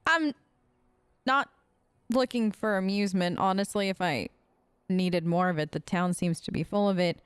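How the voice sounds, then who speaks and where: A woman giving casual, chatty role-play commentary over a video game, playful and gentle, often hesitating and tagging on questions. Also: medium pitch, moderate pace, long pauses, smooth, no room sound. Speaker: gamer playing a role-play let's play.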